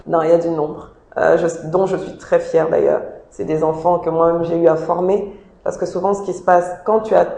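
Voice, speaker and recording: A woman's voice: lowish voice, vocal fry, mellow. A woman giving earnest, emphatic interview answers as the founder of a job agency, often repeating her points. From DR Congo. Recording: echoey room.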